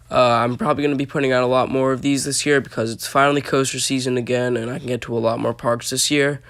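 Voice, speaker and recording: A young man talks over video with a hoarse, froggy voice and a gravelly edge. His delivery is loose and casual, full of fillers and restarts. His pitch sits in an ordinary middle range. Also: fairly bright tone. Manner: rambling with many fillers. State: hoarse from allergies. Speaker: roller coaster reviewer.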